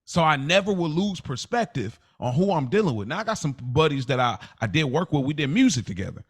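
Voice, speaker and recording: A man's voice: a little high, big pitch swings, a little fast, breathy edge. A man in his thirties talking candidly and casually on a podcast, emphatic and repetitive, with slangy, confiding delivery. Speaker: podcast host.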